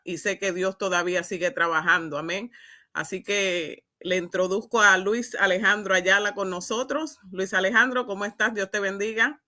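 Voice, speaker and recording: A bright, forceful woman host of a church program, feeling her way through questions with frequent amens. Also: mid-range voice. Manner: halting, punctuated with amens. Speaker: host interviewing a guest. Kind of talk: religious testimony interview.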